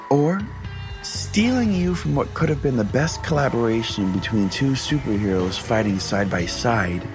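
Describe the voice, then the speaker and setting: A man hosting a comedy podcast, narrating a scripted first-person tale in a theatrical, earnest storytelling way. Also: mid-range voice. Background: music bed under the voice.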